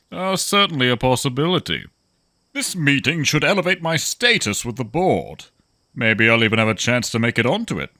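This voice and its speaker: A man's voice: medium pitch, sharp tone, no room sound, studio quality. A man playing a pompous, greedy corporate executive in a sci-fi audio drama, haughty and condescending in formal wording.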